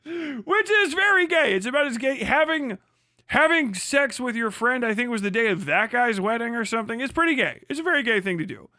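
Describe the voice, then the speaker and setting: High bright guy on a livestream, swearing and mocking, laughs a lot, dry close sound. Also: animated, fairly quick, no echo. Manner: profane, mocking, laughing often. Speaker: livestream host. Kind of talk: livestream commentary rant.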